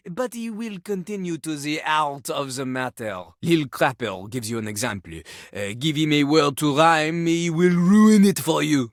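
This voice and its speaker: Here is a living toilet from a video game, boastful and scornful, who fancies himself a rap genius. The male voice sits high and leaps around theatrically, full of pomp. It is recorded dry and close, with faint music underneath. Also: a bit bright, no echo, full character voice. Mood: smug, flustered. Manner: pompous, talks of himself in the third person.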